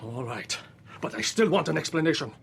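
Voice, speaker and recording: An actor playing a proud, suspicious ship's captain in a radio drama, husky-voiced, blunt and formal. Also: male voice, fairly high pitch, expressive, a little fast, a little hiss or hum. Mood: indignant.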